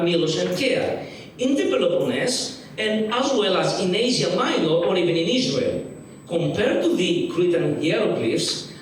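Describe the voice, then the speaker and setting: A man's voice: high voice, a little hiss or hum. Greek-accented man lecturing in an echoey hall. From Greece. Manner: reads formally, with frequent restarts and repeated words. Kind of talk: academic conference lecture read from a paper. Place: large museum auditorium. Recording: distant, echoey auditorium sound.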